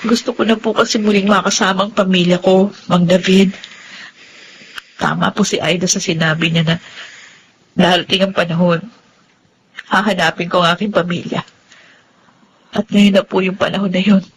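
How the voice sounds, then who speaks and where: A woman's voice: lowish voice, steady tone, normal speed, long pauses, a little hiss or hum, natural voice, low-quality recording. A Filipino woman acting a remorseful young woman in a Tagalog radio drama, earnest and emotional.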